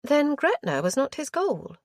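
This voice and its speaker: A brisk Regency aunt, formal and tart, her pitch lively as she questions, voiced by a woman reading a novel aloud. The storybook recording is close and dry. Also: no echo, exaggerated voice.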